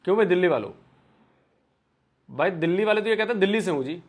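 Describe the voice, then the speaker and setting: A man with a slightly high voice hosts a reaction video and comments with wry skepticism. He talks casually, as if to regular viewers. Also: animated, fast talker. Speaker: reaction channel host.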